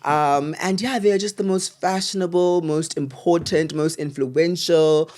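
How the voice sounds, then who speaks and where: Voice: mid-range voice, steady tone, unhurried, a little mellow. A South African talk show host with a mid-range voice. The delivery is casual and slangy, full of gushing praise and words repeated for emphasis.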